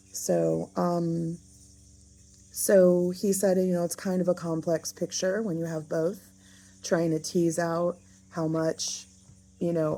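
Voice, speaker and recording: A woman talking casually in a diary-style vlog with a raspy, hoarse voice. She is unhurried and thoughtful, and often pauses to find her words. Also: deep voice, dark tone. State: raspy, hoarse voice after illness. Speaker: vlogger.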